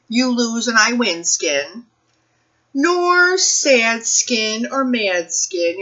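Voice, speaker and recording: A woman speaking as a children's librarian at a read-aloud storytime, expressive and addressing young children and families. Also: on the high side, muffled recording. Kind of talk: picture book read-aloud and talk to families.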